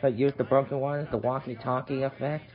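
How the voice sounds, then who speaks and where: A man hosting a casual hobby app tutorial, speaking haltingly and repeating his phrases. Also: medium pitch, fairly even intonation. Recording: dull lo-fi audio with noise.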